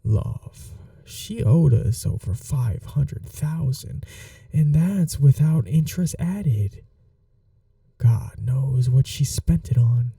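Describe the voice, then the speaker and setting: Man acting a dark-voiced, unhurried mafia boss. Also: medium pitch, vocal fry, no echo, distant mic, exaggerated voice. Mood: cool, matter-of-fact. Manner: unhurried, reassuring, teasing. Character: calm, flirty, protective male mafia boss. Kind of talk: soft-spoken ASMR roleplay acting.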